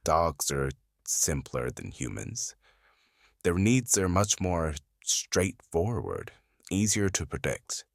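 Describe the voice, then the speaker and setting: A woman voicing a weary, guarded male FBI profiler in an audio drama, tired and deliberate, her voice pitched down to sound masculine. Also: big pitch swings, vocal fry, no room sound, clean studio sound, full character voice. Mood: guarded, cynical. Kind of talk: fan audio drama acting.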